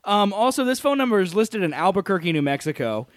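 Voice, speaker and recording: A man's voice: high-pitched, sing-song, quick pace, dry recording, clean studio sound. A man hosting a talk show, joking and teasing his co-hosts in casual banter. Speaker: radio show host.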